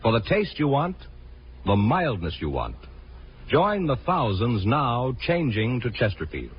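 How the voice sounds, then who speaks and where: Vintage male commercial announcer, hugely animated pitch, emphatic sales pitch, muffled old radio sound. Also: measured pace. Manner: emphatic, declamatory salesman delivery. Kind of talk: 1950s radio cigarette advertisement. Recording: muffled, hissy 1950s radio recording.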